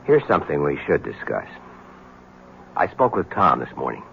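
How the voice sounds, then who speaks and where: An actor playing a skeptical young newspaper reporter in an old-time radio drama, conversational and placating. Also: male voice, very deep voice, wildly swooping pitch, moderate pace, gravelly, exaggerated voice. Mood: hesitant. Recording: dull, slightly hissy vintage radio recording.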